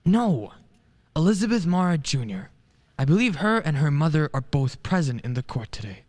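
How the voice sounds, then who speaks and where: An amateur actor playing an earnest, hesitant defence lawyer in a radio play, courteous and halting. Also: medium pitch, sing-song, a little mellow. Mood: courteous, confident.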